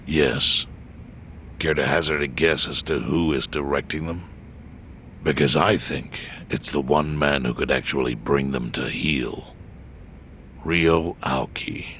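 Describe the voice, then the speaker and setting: An actor playing a grave, weary elderly yakuza chairman in a dramatic video game, speaking in a measured, solemn way with a gravelly voice. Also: rumbling low, steady tone, a bit bright, a little hiss or hum, full character voice.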